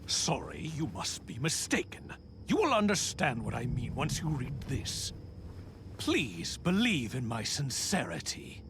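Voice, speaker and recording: A man's voice: mid-range voice, huge pitch swings, a little slow, noisy background. An actor playing a smug, scheming nobleman in a video game, theatrical and falsely polite. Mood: falsely courteous. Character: smug, scheming courtly nobleman betraying a knight. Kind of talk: dramatic video game cutscene acting. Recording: music behind the voice. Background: game soundtrack music.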